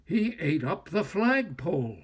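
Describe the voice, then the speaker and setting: An older man reading a children's story aloud in an expressive, deliberate, dramatic way. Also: a little high, slightly rough. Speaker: storyteller, actor.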